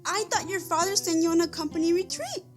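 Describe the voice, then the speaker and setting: A woman playing a torn, melodramatic soap opera heroine with overwrought, halting pleas. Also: extremely high pitch, lively intonation. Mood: torn, pleading. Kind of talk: soap opera parody sketch. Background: plucked guitar music.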